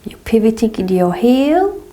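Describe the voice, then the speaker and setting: A woman with a blocked nose from a cold, calmly guiding a movement exercise step by step. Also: on the high side. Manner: slow, calm step-by-step guidance with frequent "okay". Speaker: movement teacher. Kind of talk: guided exercise instruction.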